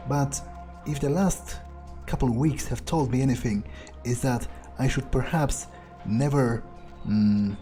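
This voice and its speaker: A man's voice: medium pitch, warm tone. A man playing a callous, cynical vampire in a game playthrough, thinking aloud in a sardonic, sometimes profane mutter. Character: cynical, callous male vampire, casually menacing. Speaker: let's player voicing his own character.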